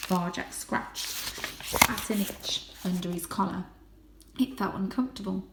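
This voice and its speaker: A woman reads a children's story aloud. Her pitch is average and her delivery is expressive and dramatic. The recording is roomy, with a noticeable echo. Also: measured pace, noisy background. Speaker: storybook reader, teacher reading to children. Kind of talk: children's audiobook narration. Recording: roomy, echoing indoor sound.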